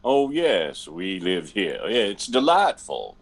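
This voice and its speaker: A man's voice: on the low side, very animated, slow pace, breathy edge, full character voice. A man acting a genteel, eerie night dweller in a radio drama, fussy, fluttery and effusively polite, with hesitant speech. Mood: delighted, welcoming.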